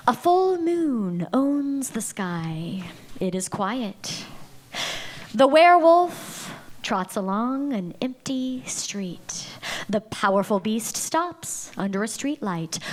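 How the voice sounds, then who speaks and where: A woman reading stage directions as narrator in a dramatic live table read of a script. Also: medium pitch.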